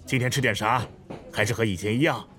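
A man's voice: medium pitch, fast, a bit bright, soft background music, natural voice. A man acting a welcoming middle-aged breakfast shop owner in a modern audio drama.

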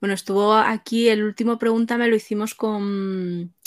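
A woman's voice: mid-range voice, fairly even intonation, moderate pace. Casual woman hosting an interview. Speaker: host reading audience questions. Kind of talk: casual interview, reading out questions.

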